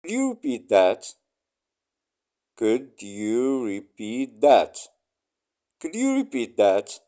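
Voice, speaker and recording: Slow, lively-pitched man reading phrases. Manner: each phrase said three times, slow and clear. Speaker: English phrase reader.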